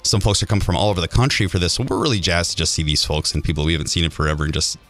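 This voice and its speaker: A man's voice: sits low, very fast, fairly bright tone. A man from Illinois, a band musician, telling stories chattily and enthusiastically as a guest in a casual radio interview. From Illinois, United States. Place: radio studio.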